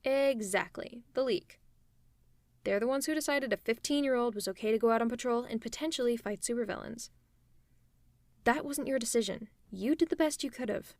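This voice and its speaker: A tough female supervillain with a soft spot, acted by a woman in an ASMR roleplay. Her voice is fairly high and recorded close and dry. She talks in a conversational way, reassuring with a gently teasing edge. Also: fairly quick, dry recording, lightly acted. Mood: gently probing. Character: tough, caring female supervillain tending an injured hero. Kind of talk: ASMR roleplay audio drama.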